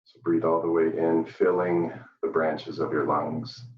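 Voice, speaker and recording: Very deep, slow-spoken man guiding meditation. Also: little pitch movement. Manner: gentle, soothing, with long pauses. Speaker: guided meditation leader. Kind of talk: live guided meditation. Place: indoor room, live virtual event. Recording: dull, roomy, distant microphone.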